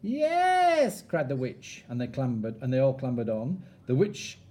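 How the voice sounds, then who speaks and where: A man reading a rhyming children's story aloud like a teacher, expressive and measured with dramatic pauses. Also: mid-range voice.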